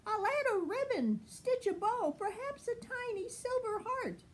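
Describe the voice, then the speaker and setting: A woman voicing a delighted, dainty storybook tailor with a light, excited manner. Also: extremely high pitch, unhurried, slight background noise, voice pushed up, exaggerated voice.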